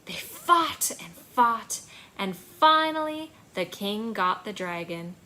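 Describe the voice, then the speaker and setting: A woman in her thirties hosting a children's storytime, speaking in an animated, encouraging way to young listeners in a small home room. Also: medium pitch, slow. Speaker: children's library storytime host. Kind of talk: children's storytime with action rhymes and reading.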